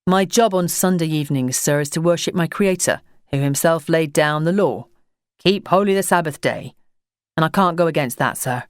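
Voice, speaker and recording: A woman's voice: low-pitched, normal speed, dry recording, studio quality, natural voice. A woman voicing an older male postman who speaks plainly and steadily, humble but firm.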